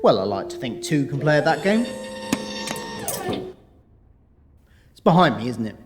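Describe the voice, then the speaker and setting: A man's voice: medium pitch, very animated, fast, natural voice. A man playing a blithe, middle-aged ghost dad in an audio drama, with a matey, wry, indignant way of talking. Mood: grumbling. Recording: reverberant, music behind.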